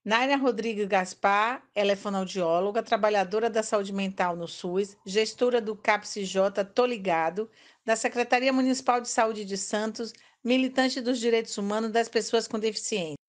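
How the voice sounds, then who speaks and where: Bahian woman host reading introductions. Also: medium pitch. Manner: reads long credentials in a steady, measured way. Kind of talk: scripted guest introductions.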